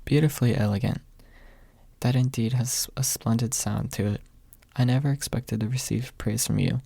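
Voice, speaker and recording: Man reading as an elegant storybook knight, formal, smug, mellow, husky, softly spoken. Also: mid-range voice, fairly even intonation, normal speed, dry recording. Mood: pleased, smug. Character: elegant, self-satisfied young gentleman knight. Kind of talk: ASMR audiobook character voice.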